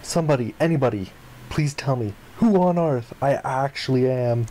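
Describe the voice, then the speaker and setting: A let's player reads a visual novel out loud in a soft, mellow tone. The delivery is expressive, with casual side remarks dropped in. There is some faint noise and game ambience behind the voice. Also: mid-range voice, normal speed. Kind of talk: expressive read-aloud with casual asides.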